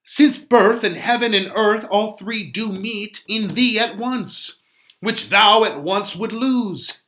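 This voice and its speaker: A man's voice: sits high, slow pace, bright, forceful tone, voice pushed up, full character voice. A man voicing an exasperated holy friar who scolds in declamatory Shakespearean verse. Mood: exasperated scolding. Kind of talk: dramatic Shakespeare reading.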